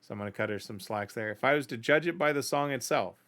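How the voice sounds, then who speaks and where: Casual reaction host: middle-aged man, bright, forward voice, conversational and opinionated. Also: medium pitch, fast. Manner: conversational, opinionated, often checking in with "right?". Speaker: host of a music reaction channel.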